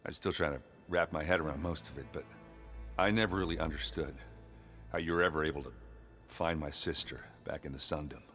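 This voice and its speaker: Very deep, blunt wisecracking warrior. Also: male voice, fairly quick, fairly bright tone, soft background music. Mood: sheepish, earnest. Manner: blunt, folksy, rambling, jokey. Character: gruff, good-natured, wisecracking warrior man, self-deprecating. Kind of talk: naturalistic video game cutscene acting.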